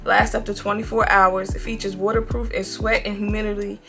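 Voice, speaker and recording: A woman's voice: medium pitch, brisk, gravelly, soft background music. A young woman beauty vlogger with a cold, talking casually to viewers from her bedroom. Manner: relaxed, chatty, addresses viewers as y'all. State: has a cold, sniffly. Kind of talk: casual makeup demo. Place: bedroom, indoors, seated before a headboard.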